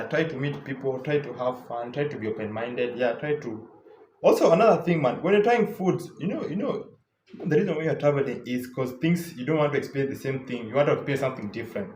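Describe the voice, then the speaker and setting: A man's voice: mid-range voice, a little mellow, slight background noise. Young male vlogger, Kenyan English accent, casual chatty delivery, roomy echoey sound. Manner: loose and chatty, lots of repeats and fillers. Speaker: travel vlogger. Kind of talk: casual travel advice talk.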